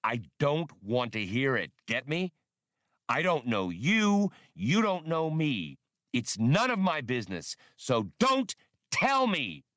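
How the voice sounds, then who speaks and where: A man's voice: medium pitch, sing-song, slow, a bit bright, no echo, clean studio sound, pitched above the performer's own voice. A man reading an audiobook plays a brusque, hot-tempered smuggler pilot with a curt, slangy, snappish delivery. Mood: angry, snarling. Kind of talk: audiobook character voice.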